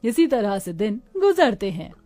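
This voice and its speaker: A woman's voice: mid-range voice, big pitch swings, measured pace, mellow, slight background noise. A woman reading scripted narration for a cartoon moral story as storyteller.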